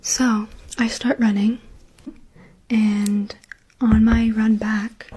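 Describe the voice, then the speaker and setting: A woman telling a personal story in a soft whisper, chatty and casual with many "like"s and "um"s. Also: on the high side, level tone, very slow, husky, rough recording. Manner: whispering softly throughout; chatty, lots of "like" and "um".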